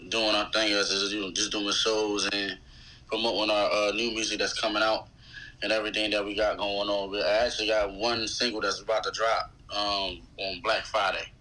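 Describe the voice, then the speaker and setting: A man's voice: lowish voice, normal speed, rough recording. A man from West Philadelphia with a Philadelphia accent, a rapper telling stories casually and slangily as an interview guest. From West Philadelphia, USA. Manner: colloquial, slangy, animated retelling with quoted dialogue. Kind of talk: casual chat, storytelling.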